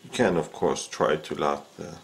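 Very deep, gravelly-voiced man demonstrating. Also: expressive. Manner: unhurried, with frequent "uh" and "um" fillers. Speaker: fishing rod repair instructor. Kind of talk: casual how-to demonstration. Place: indoors at a workbench.

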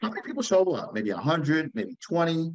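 Animated male interviewer. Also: a little high, brisk, no echo. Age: middle-aged. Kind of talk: conversational questioning. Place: indoor room on a video call.

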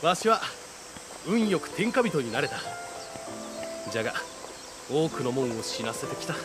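An actor playing a folksy, reflective aging samurai ruler in a video game. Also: male voice, a little high, very animated, moderate pace. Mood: reflective, burdened. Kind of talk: dramatic Japanese video game acting. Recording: loud music behind the voice.

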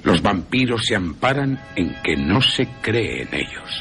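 A man voicing a learned, patient professor who hunts vampires, measured and emphatic, with a Castilian Spanish accent, in radio storytelling. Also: on the low side, sing-song, bright, forceful tone, soft background music, pitched above the performer's own voice, heavily acted. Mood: grave, explaining.

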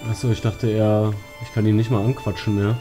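A man's voice: lowish voice, little pitch movement, brisk. A man giving casual gaming commentary, thinking aloud colloquially. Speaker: let's play host. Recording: game music behind.

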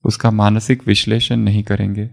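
A man guiding a relaxation meditation as an instructor, giving calm, measured instructions with long pauses. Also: on the low side, gravelly. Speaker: yoga nidra meditation instructor.

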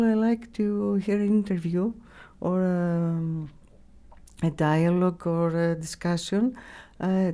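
A middle-aged woman with a Greek accent answering interview questions in a halting way, searching for words, on a studio microphone. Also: fairly low pitch, mellow. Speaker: radio station staff member, interviewee. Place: small radio studio. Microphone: broadcast mic on boom arm.

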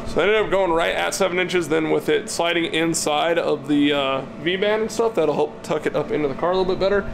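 A man's voice: fairly high pitch, brisk, vocal fry. A man in his thirties giving casual hands-on build commentary, thinking aloud informally as he works in a garage workshop. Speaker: car builder and vlog host. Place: metal-walled garage workshop, indoors. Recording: loud steady shop ventilation noise.

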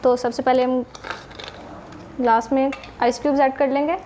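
A woman's voice: high voice, little pitch movement, moderate pace, warm tone, slight background noise. A woman hosting a home cooking channel with a Pakistani Urdu accent, patiently explaining each recipe step to her viewers.

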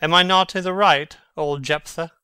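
A man acts a young prince in a Shakespearean drama, witty and mocking as he feigns madness. His voice is highish, very bright and clean, recorded close and dry. The delivery is theatrical, with a sly, sardonic edge. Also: fairly even intonation, normal speed, clear, resonant tone, no room sound. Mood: teasing. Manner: theatrical, teasing, archly sardonic.

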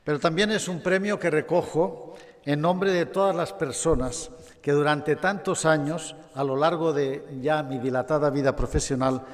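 An older man from Catalonia giving a formal award acceptance speech in a measured manner with touches of humor. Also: on the high side. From Catalonia, Spain. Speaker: award recipient. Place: large gala hall. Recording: long reverb of a hall.

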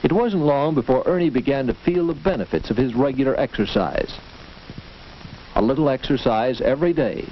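Man, American accent, 1940s instructional film narrator, expressive swooping intonation, measured storytelling delivery, dull noisy old soundtrack with clicks. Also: mid-range voice.

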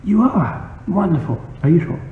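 Man putting on a high-voiced, officious little priest. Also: sing-song, moderate pace. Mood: brisk, questioning. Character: small, squat priest in his sixties, catechizing. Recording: muffled phone-like sound, noisy, roomy echo.

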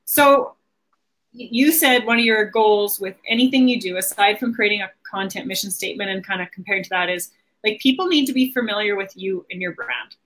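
A woman's voice: medium pitch, fairly quick, fairly bright tone, low-quality recording. A woman in her thirties hosting a livestream, chatting casually and informally. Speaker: host of a marketing livestream. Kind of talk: casual conversational interview. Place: small home office indoors.

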